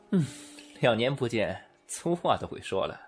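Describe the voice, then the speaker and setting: A man's voice: medium pitch, very animated, takes it slowly, faint music behind. A man playing an impulsive, lovelorn young man in an amateur audio drama, informal and emotional, by turns teasing and pleading. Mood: rueful, pleading.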